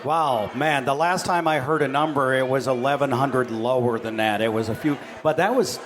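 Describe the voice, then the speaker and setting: A man's voice: mid-range voice, normal speed, crowd noise. A man moderating a panel, introducing speakers in an upbeat, chatty way.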